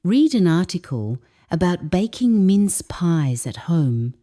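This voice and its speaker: A woman, an English teacher, calmly reading a first-person story aloud as scripted narration. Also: lowish voice, takes it slowly.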